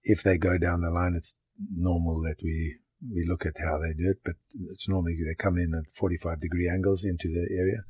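Low husky older man, a goalkeeper coach. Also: level tone, a little fast, few pauses, darkish tone, no echo. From Zimbabwe. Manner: explains step by step, plain and practical. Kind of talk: interview answers.

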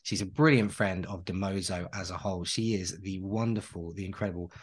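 A man's voice: deep voice, a little fast, breathy edge. A man co-hosting a podcast, chatting casually and enthusiastically, piling on praise and rambling through restarts. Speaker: podcast co-host.

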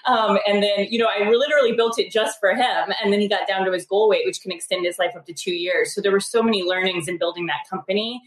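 A woman's voice: medium pitch, steady tone. Woman in a roomy echo. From United States. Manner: quick, upbeat, laughs often, many fillers. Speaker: guest, startup co-founder. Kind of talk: casual interview chat.

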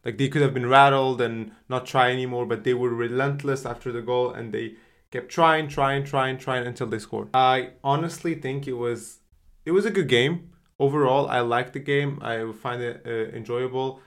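A man's voice: mid-range voice, fairly even intonation, moderate pace. A man co-hosting a football podcast, talking casually with lots of fillers and restarts. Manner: chatty, many fillers and restarts. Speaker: podcast co-host.

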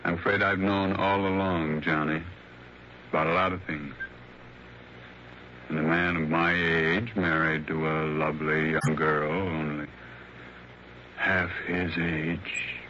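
Old guy, sad and resigned, halting, bright edgy voice, old muffled radio drama sound. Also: extremely low pitch, little pitch movement, full character voice. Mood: sad resignation. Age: older. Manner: slow, halting, deliberate delivery. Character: sad, resigned old blind man, betrayed by wife. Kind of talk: 1960s radio drama acting. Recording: noisy, band-limited old radio recording.

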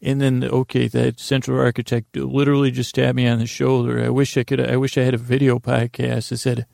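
Gravelly, monotone man rambling at bedtime. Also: mid-range voice, dry recording, studio quality. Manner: meandering, halting, goofy and self-deprecating. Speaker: podcast host. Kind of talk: rambling bedtime story monologue.

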